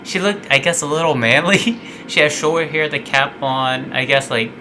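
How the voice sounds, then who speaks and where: A young man co-hosting a podcast, chatting casually, reassuring his friend and telling animated work stories. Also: fairly high pitch, moderate pace, slight background noise. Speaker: podcast co-host. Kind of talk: casual chat.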